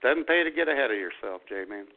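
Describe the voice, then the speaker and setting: Animated phone-line male radio host. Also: medium pitch, a little fast. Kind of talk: casual comic banter. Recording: thin phone-like radio audio.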